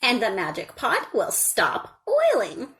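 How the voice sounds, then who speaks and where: A woman's voice: fairly high pitch, wildly swooping pitch, takes it slowly, a bit bright, voice pushed up, full character voice. A woman acts out an elderly storybook woman with magic to share. Her voice is crackly and pleasant. She speaks in a reassuring, instructive manner, as if guiding a child. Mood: earnest, instructive. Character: kindly old woman with a magic pot.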